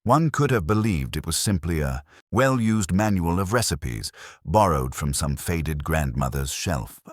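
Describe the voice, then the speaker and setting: A man's voice: low-pitched, expressive, unhurried, dry recording, studio quality. A man narrating a scripted detective story as an audiobook.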